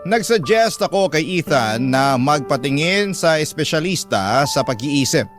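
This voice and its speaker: Radio drama narrator: man, higher-than-average pitch, scripted first-person storytelling, music bed underneath. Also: lively intonation, measured pace.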